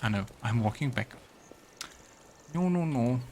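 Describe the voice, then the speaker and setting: A man's voice: medium pitch, animated, measured pace, a little mellow, a little hiss or hum. A man hosting a let's play, commenting casually on the game, muttering reactions and reading game text aloud. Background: faint game music.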